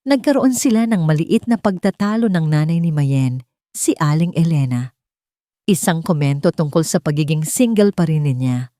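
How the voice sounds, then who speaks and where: Low-voiced woman narrating a drama. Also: expressive, normal speed, warm tone, no echo, clean studio sound. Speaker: storyteller narrator. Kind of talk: dramatic scripted narration of a Tagalog love drama.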